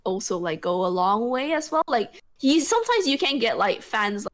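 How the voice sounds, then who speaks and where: A woman chatting on a podcast with a lively pitch that moves up and down a lot. She pauses and restarts often, fills gaps with "like" and "um," and asks eager questions. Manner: hesitant, many fillers and restarts, curious questions. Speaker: podcast co-host. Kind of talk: casual chat.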